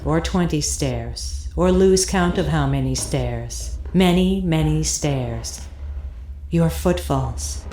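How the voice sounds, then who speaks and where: A woman playing an eerie audio tour guide in an audio drama, giving deliberate instructions with hypnotic repetition. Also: low-pitched, dark tone, slight background noise. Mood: hushed, foreboding. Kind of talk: scripted audio drama narration. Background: ambient field recording and foley sounds.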